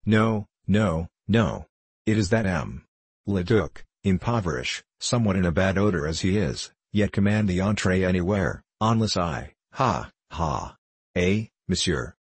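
Man acting a sly young Frenchman, low-pitched. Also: expressive, a little slow, husky, no echo, heavily acted. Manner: broken English, courtly, theatrical chuckling asides. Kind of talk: audiobook character voice.

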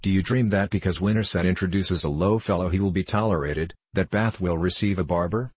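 A man's voice: low-pitched, a little fast, slightly rough, no echo. A man voicing a haughty duke in an audiobook, with a sneering, contemptuous, bad-tempered manner. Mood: scornful. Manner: snarling, contemptuous, archaic aristocratic oaths. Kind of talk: audiobook character voice.

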